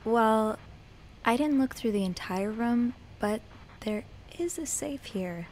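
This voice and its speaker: A woman's voice: on the high side, expressive, takes it slowly, a little mellow, slight background noise. A woman playing a nosy, anxious young hotel maid in a mystery game, with a hesitant, earnest manner. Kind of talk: narrative mystery game acting, natural dialogue.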